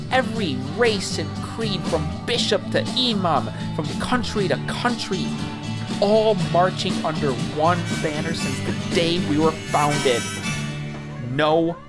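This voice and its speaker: A man's voice: fairly high pitch, lively intonation, unhurried. A man reading a scripted, dramatic narration in a solemn, rousing, declamatory manner. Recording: loud music and crowd behind voice.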